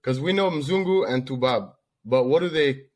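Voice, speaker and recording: A man's voice: medium pitch, normal speed. Slangy, storytelling man from the Netherlands. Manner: animated storytelling with slangy asides. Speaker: podcast co-host. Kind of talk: casual podcast chat.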